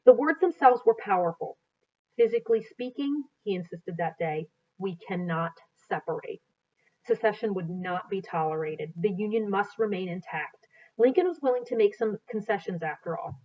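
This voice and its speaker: Casting: American woman, teacher type, mid-pitched, explanatory history read-aloud, slightly muffled lo-fi sound. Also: lively intonation, normal speed. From United States. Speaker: teacher reading aloud to students. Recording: dull, lo-fi sound.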